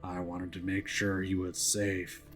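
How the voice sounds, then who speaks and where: A man voicing the delirious ghost of a man in his thirties, muttering in halting fragments for a story game. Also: low-pitched, flat delivery, a bit bright, soft background music. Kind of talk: visual novel character voice.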